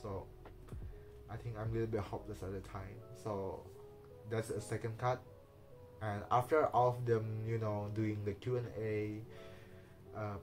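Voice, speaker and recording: A man whose first language is Indonesian, talking casually in halting English with many fillers, restarts and small nervous laughs. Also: mid-range voice, low-quality recording. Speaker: vlogger. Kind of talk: casual personal vlog talk.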